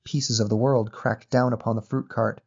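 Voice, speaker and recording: A man reading a literary short story as narrator in a measured, descriptive manner. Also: mid-range voice, little pitch movement, darkish tone, breathy edge, dull audio.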